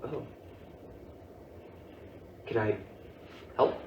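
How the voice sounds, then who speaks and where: A man's voice: extremely slow, lo-fi sound. A man acting a patient but exasperated middle-aged father with dry humor in a staged play reading. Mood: dry, teasing. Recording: roomy, distant mic, faint noise.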